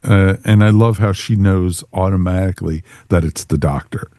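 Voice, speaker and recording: A man's voice: sits low, fairly even intonation, normal speed, mellow, no room sound, studio quality. A man hosting a casual review discussion, conversational and opinionated. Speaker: podcast host.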